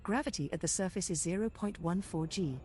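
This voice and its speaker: A woman voicing a composed spaceship computer, reporting status in a measured, precise, matter-of-fact way. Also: lowish voice, warm tone, slight background noise, lightly acted. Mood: composed, informative. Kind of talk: scripted ship status announcements.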